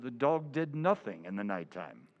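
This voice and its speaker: A man's voice: mid-range voice, very animated, normal speed, very noisy. A man playing an earnest, puzzled police inspector in an old-time radio drama. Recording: dull lo-fi sound, music behind.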